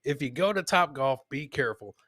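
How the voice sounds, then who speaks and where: A man's voice: on the high side, animated, a little fast, a bit bright, no echo, studio quality. A man hosting a casual comedy livestream, talking in a hyped, slangy way and repeating phrases for emphasis while getting over a cold. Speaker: podcast host.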